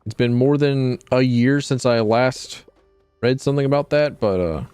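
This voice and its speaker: A man's voice: mid-range voice, moderate pace, a little mellow, clean tone, no echo, faint music behind. A man streaming a video game, chatting casually in a rambling, wry way and often correcting himself. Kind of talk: casual gameplay commentary.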